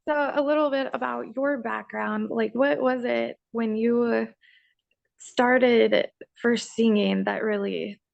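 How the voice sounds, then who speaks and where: A woman's voice: a little high, fairly even intonation, slow pace, vocal fry, no room sound. A woman interviewing a musician over a video call from a room full of equipment cases, asking hesitant, rambling questions. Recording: dull, dry lo-fi sound.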